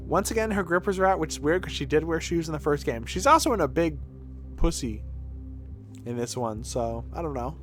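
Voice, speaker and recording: Male game streamer, fairly high voice, expressive casual commentary, profane, faint music underneath. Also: brisk. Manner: animated, sweary.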